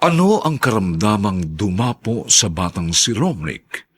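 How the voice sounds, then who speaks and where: Husky, dramatic Filipino male narrator. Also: fairly low pitch, sing-song, unhurried.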